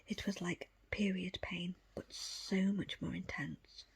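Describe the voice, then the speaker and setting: A woman reading a book aloud as ASMR, in a breathy, unvoiced whisper with a slow, hushed storytelling delivery. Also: fairly low pitch, fairly even intonation. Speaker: audiobook reader.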